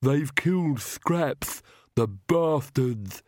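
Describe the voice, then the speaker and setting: A man's voice: medium pitch, lively intonation, drawn-out words, slight background noise, voice pushed up. A man voicing a lumbering monster servant, grieving and miserable, in an audiobook story. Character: lumbering, grieving monster servant, sobbing over his dog.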